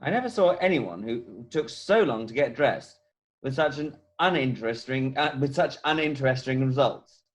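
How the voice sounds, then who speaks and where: Casting: a man as vain, witty young gentleman in a stage comedy read-through, highly varied intonation. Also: expressive, normal speed, lightly acted. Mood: flippant, teasing. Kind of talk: amateur play read-through. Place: at home on a video call. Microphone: video call.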